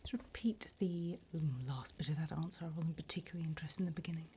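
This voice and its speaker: A woman's voice: deep voice, fast talker, mellow, slight background noise, close to an everyday voice. A woman voicing a sly, teasing demon job interviewer from hell in a whispered, mock-formal ASMR roleplay. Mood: dry amusement. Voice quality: breathy whisper.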